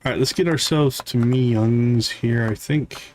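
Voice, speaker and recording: A man's voice: medium pitch, a little slow, a little mellow, slight background noise. A man giving casual gameplay commentary as a game streamer. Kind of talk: casual gameplay commentary, reading dialogue aloud. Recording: music playing behind the voice. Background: game music.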